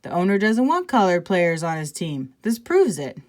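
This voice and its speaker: A woman reading aloud as a steady, reassuring father in a children's picture book. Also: fairly low pitch, moderate pace. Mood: frustrated. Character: warm, steady father of a young boy.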